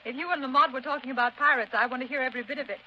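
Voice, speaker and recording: A woman's voice: sits high, steady tone, rapid-fire, lightly acted. An actress playing a spirited, curious young society lady in 1930s radio style. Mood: eager, bright. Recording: muffled, noisy 1930s radio recording.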